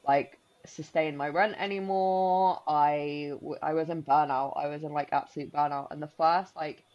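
A woman's voice: sits low, steady tone, normal speed, highs cut off. A woman in her thirties who grew up in Spain, co-hosting a podcast in casual, rambling chat full of likes and restarts. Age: 30s. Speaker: podcast co-host.

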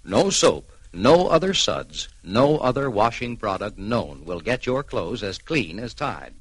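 A man's voice: lowish voice, very animated, a little slow, faint music behind. A man announcing a vintage radio commercial with emphatic, punchy phrasing. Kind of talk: vintage 1940s-50s radio advertising pitch.

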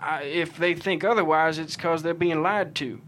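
Casting: a man as an indignant, sincere rancher giving testimony, voice a bit higher than average, drama table read. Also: steady tone, moderate pace, fairly bright tone, slight background noise, close to an everyday voice. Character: earnest, indignant male cattle rancher testifying in court.

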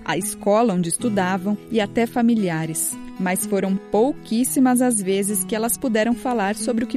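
A woman hosting a children's radio program, reading a scripted report in a calm, clear storytelling manner. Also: medium pitch. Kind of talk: scripted narration.

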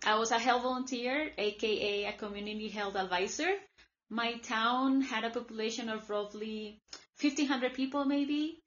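Chatty Colombian-born woman, higher voice. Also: moderate pace, a bit bright, muffled recording. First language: Spanish. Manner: chatty, many "like" fillers. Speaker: guest, former volunteer. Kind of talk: casual interview storytelling.

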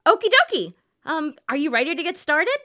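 Woman playing a perky, high-pitched radio announcer. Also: sing-song, fairly quick, sharp tone, heavily acted. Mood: eager. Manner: chirpy, folksy, guileless. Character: perky, naive female substitute radio announcer.

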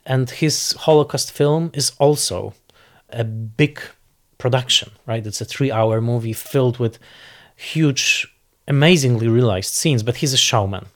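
A man speaking off the cuff as a film critic in an interview, analysing in a deliberate, emphatic way and searching for precise words. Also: medium pitch. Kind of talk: unscripted analytical interview commentary.

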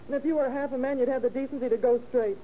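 A woman's voice: high-pitched, steady tone, very fast, natural voice. Woman acting a weary, reproachful wife, muffled recording. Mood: reproachful, pleading. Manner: scolding, earnest, dramatic. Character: weary, reproachful, long-suffering wife and mother. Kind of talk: 1930s radio melodrama acting. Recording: dull, hissy old radio recording.

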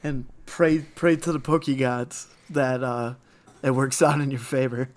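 A man co-hosting a casual card game podcast, his voice raspy and hoarse from overuse, rambling with many fillers. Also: mid-range voice, fairly even intonation, measured pace. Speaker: podcast co-host.

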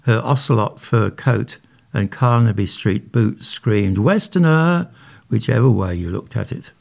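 A man's voice: fairly low pitch, steady tone, measured pace, vocal fry, darkish tone. A man reading his own short story aloud in a dry, wry narrating manner.

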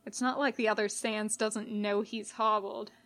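A woman narrating scripted fiction in a measured, dramatic way with pauses. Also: medium pitch, level tone, a bit bright. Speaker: podfic reader.

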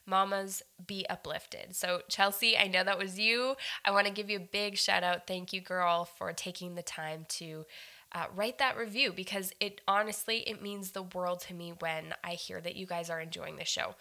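Woman podcast host w a head cold, kinda nasal, bright voice, chatty + earnest. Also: lowish voice, steady tone, normal speed. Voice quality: slightly nasal. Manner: upbeat and earnest, addressing listeners as friends. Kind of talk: faith-based podcast monologue.

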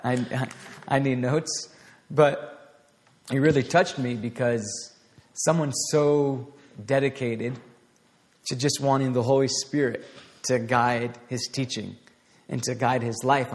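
A man giving an informal, conversational sermon to a small group, with a voice turning raspy and plenty of restarts and fillers. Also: medium pitch, a little mellow. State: voice getting raspy. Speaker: preacher. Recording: long hall reverb.

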